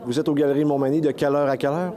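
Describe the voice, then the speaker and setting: A man's voice: a little high, level tone, very fast, a crowd in the background. A man with a Quebec French accent interviewing someone in the field as a local TV reporter, asking friendly, informal questions.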